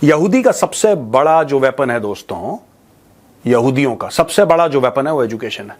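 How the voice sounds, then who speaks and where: A man's voice: mid-range voice, lively intonation, normal speed, a little mellow. A man giving an informal, opinionated news monologue, mocking and colloquial, repeating phrases for emphasis and mixing in English. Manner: mocking, colloquial, rhetorical questions, mixes in English. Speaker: host of a news commentary show. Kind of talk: opinionated informal monologue to viewers on current affairs.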